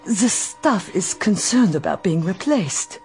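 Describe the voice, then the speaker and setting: An actress playing a loyal, devoted robot maid who speaks in a measured, formal way in a radio drama. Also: female voice, medium pitch, lively intonation, darkish tone, soft background music. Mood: earnest.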